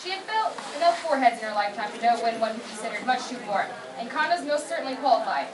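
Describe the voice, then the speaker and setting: A woman's voice: a little high, expressive, fast, crowd noise. A young woman reading scripted fan fiction narration aloud at a convention panel. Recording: echoey noisy room, far from mic.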